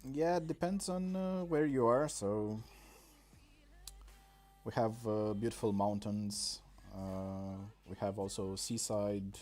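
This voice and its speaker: Slow, mellow-voiced man. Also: lowish voice, faint music behind. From Romania. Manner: halting, many pauses and fillers. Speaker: game streamer. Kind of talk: casual live-stream commentary. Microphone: desk microphone.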